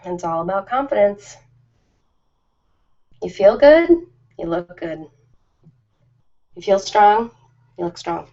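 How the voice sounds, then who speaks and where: A woman's voice: medium pitch, animated, slow, pauses often, darkish tone. A woman chatting casually and informally on a live stream, ad-libbing in a rambling, self-deprecating way. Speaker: live-stream host of ASMR and ritual videos. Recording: slightly dull lo-fi live-stream audio.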